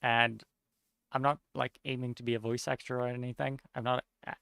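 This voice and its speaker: A young man with a Norwegian accent, chatting casually while streaming a game, somewhat monotone and mumbling with unclear diction. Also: medium pitch, fairly quick, creaky, clean tone, no echo. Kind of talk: casual chat while gaming.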